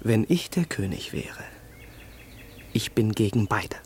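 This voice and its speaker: An actor playing a proud, defiant young Prussian crown prince in a radio play, speaking formally and with haughty emphasis. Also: male voice, on the low side, expressive, slow, darkish tone, a little hiss or hum. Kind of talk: German radio play acting.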